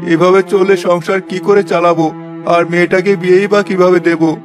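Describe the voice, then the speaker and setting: High-pitched, plaintive old village labourer played by a man. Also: level tone, normal speed, close to an everyday voice. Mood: despairing. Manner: plaintive, lamenting. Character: worried, weary old village labourer and father. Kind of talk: Bengali cartoon fairy-tale voice acting. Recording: music behind the voice.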